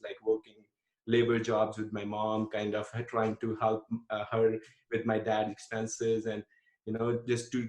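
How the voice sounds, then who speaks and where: A man's voice: lowish voice, reverberant, poor audio. A man in his thirties from India with an Indian accent, telling his life story earnestly as a podcast guest, with many fillers and restarts. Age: 30s. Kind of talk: casual interview storytelling.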